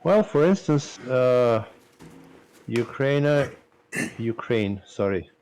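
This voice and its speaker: A man with a Serbian accent talks slowly and unhurriedly in English, searching for words with frequent pauses. His voice sits at a middling pitch. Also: big pitch swings, darkish tone. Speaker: streamer. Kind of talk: casual chat.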